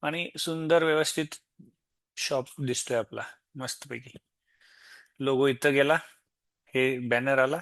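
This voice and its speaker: A man with an Indian Marathi accent giving an informal, step-by-step software tutorial, explaining as he goes and mixing in English tech terms. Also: medium pitch, steady tone, unhurried, long pauses, dry recording. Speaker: software demo presenter.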